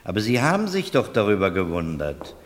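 An actor playing a sardonic baron who teases and needles drily, in a radio play. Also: male voice, lowish voice, wildly swooping pitch, fairly quick. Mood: mocking. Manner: sardonic, dry, teasing. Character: mocking, needling male baron, sardonic companion. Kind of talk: 1970s German radio play acting. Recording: reverberant room, 1970s radio.

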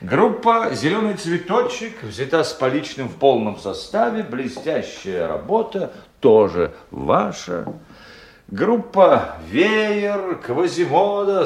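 An actor playing a suave, pompous, slyly menacing boss in a radio drama, with drawn-out, deliberate phrasing. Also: male voice, mid-range voice.

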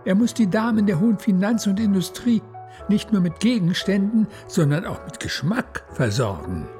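A woman's voice: mid-range voice, sing-song, moderate pace, dark tone, soft background music. A woman narrating a radio play in scripted literary prose.

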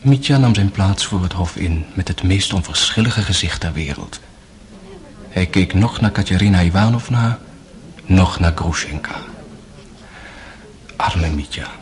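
A man narrating a radio drama as a grave, sorrowful young brother, in measured, literary phrases that sink to a hush. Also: low-pitched, lively intonation.

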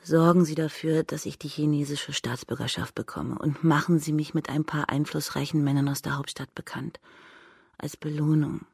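An actress playing a proud, blunt, scornful young courtesan in a radio drama, cynical and frank in manner. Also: female voice, low voice, fairly even intonation, normal speed, creaky, a little mellow, breathy edge, dry recording, clean studio sound, full character voice. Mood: calculating, demanding.

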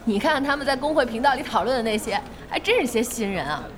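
A woman's voice: on the high side, lively intonation, fast, a faint crowd, slight background noise, natural voice. A woman acting a spirited young gamer's friend in an audio drama, bantering and easily amused. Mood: amused, teasing. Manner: giggly, bantering. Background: music.

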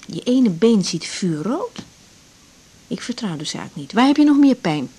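A woman's voice: medium pitch, sing-song, unhurried, dark tone, husky. A woman playing a caring, frank young doctor in a naturalistic radio drama. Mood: caring, brisk.

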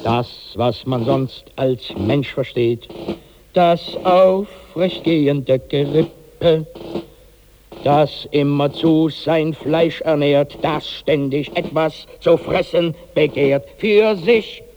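An actor playing a measured, sardonic, faintly sinister figure in a German 1960s radio drama, declaiming deliberately. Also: male voice, on the high side, highs cut off. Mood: sardonic recital. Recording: reverberant old radio recording, faint noise.

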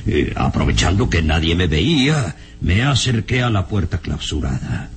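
An actor playing a grave, shaken professor who recounts dark secrets in a dramatic, halting radionovela manner. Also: male voice, very animated. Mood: frightened, insistent. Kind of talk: old radio serial acting. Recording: noisy old radio serial recording, rumble.

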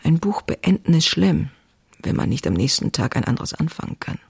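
An actress playing a passionate, anxious woman writer in her thirties, reading her diary in an intimate, confessional way with a husky, breathy voice. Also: sits low, sing-song, normal speed, dry recording, studio quality, heavily acted. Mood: brooding, anxious. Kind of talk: dramatic literary diary reading.

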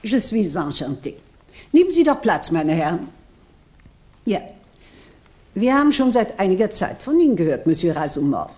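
An actress playing a theatrical, aggrieved society Madame in a radio play, speaking in a grand, affected, lamenting manner. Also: female voice, mid-range voice, big pitch swings, moderate pace, pauses often, dark tone, lightly acted. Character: theatrical, aggrieved aristocratic Madame, woman, querulous. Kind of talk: German radio play acting.

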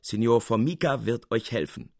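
A man's voice: medium pitch, sing-song, normal speed, gravelly, a little mellow, dry recording, clean studio sound. A man voicing a jovial, confident schemer from a storybook, hearty and teasing as he reassures. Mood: amused, reassuring.

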